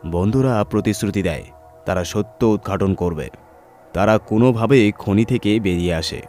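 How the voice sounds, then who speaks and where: A male storyteller with a fairly low voice narrates a scripted horror tale. Music plays underneath his reading. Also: a little mellow.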